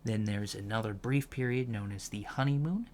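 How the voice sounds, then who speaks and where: A man playing an earnest alien college professor in a soft-spoken ASMR lecture roleplay, halting and deliberate, carefully correcting himself as he explains. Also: on the low side, natural voice. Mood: earnest, explanatory. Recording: clear steady background noise.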